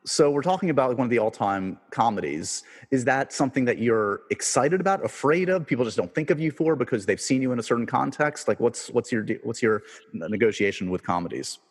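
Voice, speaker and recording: A man hosting an interview podcast, asking questions in a relaxed, hedging way full of "like" and "uh". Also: medium pitch, fairly quick, slightly rough, in a large hall. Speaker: podcast host. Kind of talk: casual interview chat.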